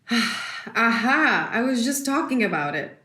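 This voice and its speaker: Casting: meddling middle-aged mother, dismissive and blunt, raised pitch, echoey room, naturalistic radio play. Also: very animated, slow, sharp tone, heavily acted. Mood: amused, dismissive. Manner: blunt, interrupting, mixes in Spanish. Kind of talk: naturalistic audio drama dialogue.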